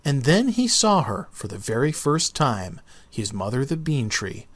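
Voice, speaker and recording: A man narrating a children's book as an expressive read-aloud. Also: medium pitch, unhurried, no room sound. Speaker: storybook narrator.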